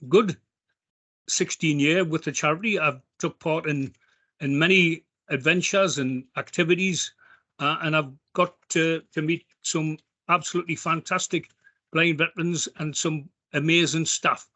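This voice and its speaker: A Geordie man speaking from the heart at an online charity talk. His voice sits a little high and sounds bright and forward, and he stops often to restart with ums and uhs. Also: fairly even intonation. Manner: halting, with many ums and restarts. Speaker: member of a veterans' charity speaking at an online event. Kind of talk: heartfelt spoken appeal.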